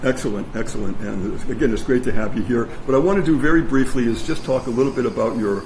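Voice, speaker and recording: A man hosting a community meeting and interviewing a guest informally, with a halting delivery and repeated phrases. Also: medium pitch, darkish tone, poor audio. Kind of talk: informal spoken introduction and interview. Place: meeting room with an audience. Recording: live meeting recording with background noise.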